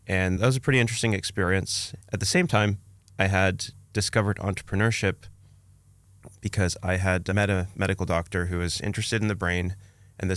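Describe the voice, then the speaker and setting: A man's voice: low voice, a bit bright, clear, resonant tone, no echo. A man, telling his own story conversationally as a podcast guest. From Canada, born in Romania.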